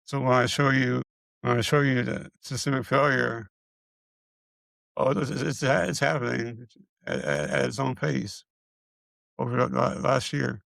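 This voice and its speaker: Raspy, halting man with a gravelly voice. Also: medium pitch, lively intonation, a bit bright, no echo. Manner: halting, with frequent stutters and repeated syllables. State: short of breath, struggling to get words out. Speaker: video creator sharing his illness progress. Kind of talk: casual personal health update.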